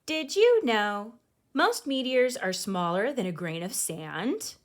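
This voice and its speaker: A woman voicing an excited, confident little girl who loves space, in an animated storybook read-aloud. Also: on the high side, slow. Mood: eager, explaining.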